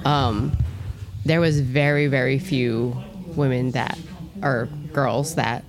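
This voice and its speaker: A woman's voice: rumbling low, fairly even intonation, slow pace, a crowd in the background. A woman in her thirties from New Mexico, a podcast guest talking earnestly with many fillers. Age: 30s. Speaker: gym staff member, guest. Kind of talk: casual podcast talk.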